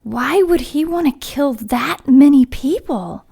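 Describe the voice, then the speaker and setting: A courteous, efficient female assistant in an audio drama. Her voice is a little high and moves expressively. She sounds inquisitive and phrases her questions politely. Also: expressive, slow, creaky, mellow, full character voice. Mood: curious, concerned.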